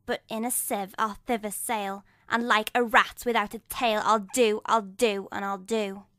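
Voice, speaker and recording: A woman's voice: medium pitch, flat delivery, unhurried, fairly bright tone, no room sound, clean studio sound, close to an everyday voice. A woman playing a vengeful witch in a dramatic Shakespeare reading, chanting rhymed curses and prophecies.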